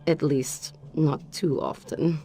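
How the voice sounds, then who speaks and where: A woman's voice: low-pitched, fairly even intonation, slow pace, mellow. An actress playing a self-assured, teasing female spy in a video game, with a flirtatious manner. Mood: cool, playful. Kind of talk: video game cutscene acting.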